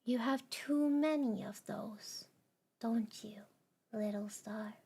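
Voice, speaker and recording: A woman voicing a living cosmic darkness that speaks in a slow, hushed, coaxing whisper. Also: on the high side, breathy edge, heavily acted. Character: the darkness, a seductive cosmic void, female-voiced.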